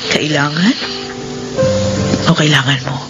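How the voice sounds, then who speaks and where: An actress playing a tender young wife and new mother with a soft, sentimental way of talking in a Filipino radio drama. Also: low voice, slow pace, muffled recording, loud music behind the voice. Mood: contented, tender.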